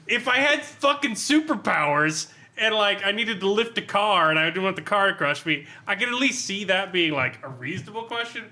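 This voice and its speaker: A man co-hosting a podcast, giving an animated, sweary comedic review of a role-playing adventure, reading bits out and laughing often. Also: high-pitched, slight background noise. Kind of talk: casual comedic review with read-out excerpts.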